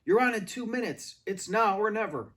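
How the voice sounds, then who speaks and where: A man voicing an angry, stressed male school drama director, shouting and barking orders in a read-aloud story. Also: sits high, animated, normal speed, pitched above the performer's own voice. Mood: angry, urgent. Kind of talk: audiobook-style character reading.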